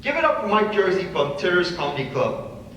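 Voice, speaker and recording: A man acts as a genial master of ceremonies making stage announcements in a script reading. His slightly high voice comes from a distance, with heavy hall echo and faint noise. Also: very animated, fairly quick, bright, forceful tone, heavily acted. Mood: genial, announcing. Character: genial male master of ceremonies introducing a comedian.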